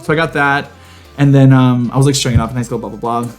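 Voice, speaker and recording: A man's voice: mid-range voice, steady tone, fast, faint music behind. A man co-hosting a podcast, casually telling personal stories in a campy, sassy way full of slangy asides. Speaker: podcast co-host. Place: home garage studio.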